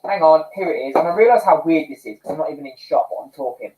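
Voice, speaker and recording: A man's voice: on the high side, expressive, rapid-fire, narrow, tinny audio. A man hosting a casual livestream from home, reading viewer comments, chatty and self-mocking and often laughing. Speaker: livestream host. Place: home, indoors.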